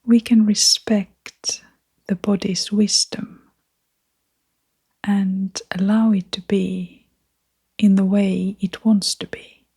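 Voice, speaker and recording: A woman guiding a meditation in a soft, half-whispered voice, gentle and soothing, leaving long pauses between phrases. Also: mid-range voice, level tone, creaky, slightly rough. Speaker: meditation guide. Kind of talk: guided meditation narration.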